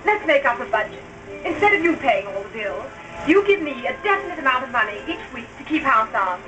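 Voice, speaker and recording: An actress playing a quarrelsome young wife in a 1930s melodramatic film style. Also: female voice, high-pitched, sing-song, a little fast, over music. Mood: calm, conciliatory. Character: nagging young wife, quarrelsome, later contrite. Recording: noisy old film soundtrack.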